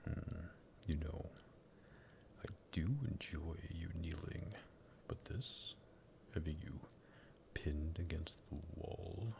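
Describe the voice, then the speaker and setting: A man playing a sadistic, possessive vampire master in an ASMR roleplay, in a breathy, gravelly whisper with a teasing, condescending drawl. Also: sits low, background noise, heavily acted. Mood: possessive, seductive. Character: sadistic vampire master, possessive and menacing, toying with captive lover.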